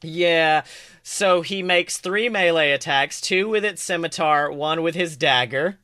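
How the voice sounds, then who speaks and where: A man's voice: a little high, measured pace, bright, forceful tone, clear, resonant tone. A man casually running a tabletop game as game master, teasing and joking as he narrates. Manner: teasing, jokey. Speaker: game master, podcast host.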